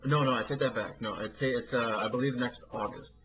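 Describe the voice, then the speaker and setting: A man's voice: medium pitch, fairly even intonation, fast, muffled recording. A man co-hosting a casual movie chat podcast, rambling with lots of fillers and restarts. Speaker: podcast co-host.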